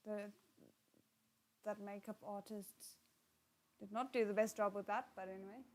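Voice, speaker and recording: A woman hosting a live watchalong, reacting casually and chatting with viewers in a halting, unhurried way, often trailing off mid-thought. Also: mid-range voice, steady tone.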